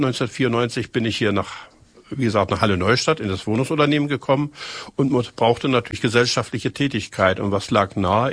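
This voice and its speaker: An older man speaks in an interview at a medium pitch. His voice is rough and husky, and it is recorded very dry. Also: fairly bright tone, no room sound. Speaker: housing company employee, chemist by training. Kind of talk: interview answers, recounting.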